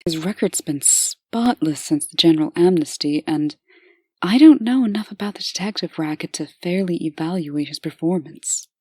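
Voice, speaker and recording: A composed deputy played by a woman in a comic dub, dutiful and dryly candid. Her voice is low and formal, measured in delivery and recorded dry. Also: a little mellow, slightly rough, dry recording.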